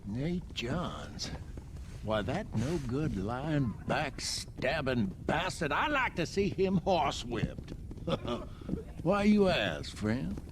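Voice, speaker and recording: An actor playing a cowardly, blustering townsman in a Western video game, with an excitable delivery. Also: mid-range voice, slow pace, background noise. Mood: indignant. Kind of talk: video game cutscene acting.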